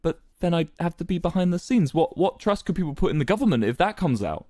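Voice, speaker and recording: A man's voice: fairly high pitch, very animated, fast, dark tone, no echo, clean studio sound, pitched above the performer's own voice. A man voicing a smug, cool male politician in a dramatized story, with clipped, taunting retorts. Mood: uneasy.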